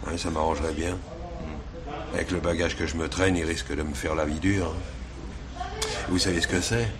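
An actor playing a sly, slangy petty crook who wheedles and bargains as a police informant in a French radio drama. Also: male voice, low voice, fairly even intonation, moderate pace, crowd noise, natural voice. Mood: wheedling.

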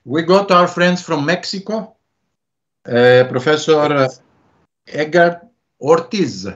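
A man's voice: a little high, slow. A man moderating an online panel, giving brief, hesitant introductions. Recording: dull lo-fi video call audio.

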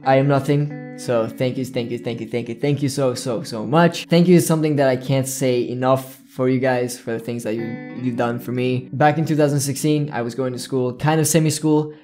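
A man's voice: medium pitch, fairly even intonation, brisk, few pauses, a little mellow, faint music behind. A young man chatting casually to his viewers in a rambling way, often repeating himself. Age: young adult. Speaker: video creator, gaming YouTuber. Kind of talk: casual chat to camera. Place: small indoor room with plain backdrop.

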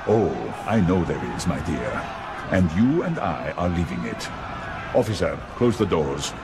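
Villain: corrupt, menacing police commissioner, low voice that swings a lot, game audio log. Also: male voice, sing-song, a little slow, over music. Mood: smug. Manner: smooth, coldly menacing. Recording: distant game audio log with background noise.

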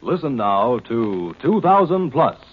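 A man announcing and narrating a science fiction radio drama in a formal, measured 1950s announcer style. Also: medium pitch, very animated. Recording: dull old radio recording over music.

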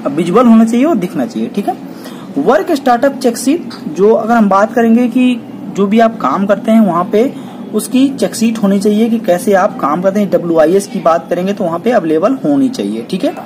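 A woman giving a technical lesson on welding. She reads each item off a checklist and explains it, with a steady "okay" after every point. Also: medium pitch, slight background noise. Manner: repeats "ठीक है" after each point, reads English terms then explains in Hindi. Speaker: welding instructor.

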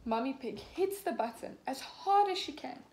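A young woman in her twenties, a teacher, reading a picture book aloud to children slowly and clearly, acting out the characters. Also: high voice, lively intonation. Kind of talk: children's storybook read-aloud. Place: small room at home, indoors. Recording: echoey room, faint background hiss.

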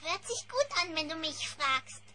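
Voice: high-pitched, very animated, unhurried, bright, forceful tone, heavily acted. A performer voicing an eager, exuberant little bear cub boy in a children's cartoon audio drama. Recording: noisy old cassette recording.

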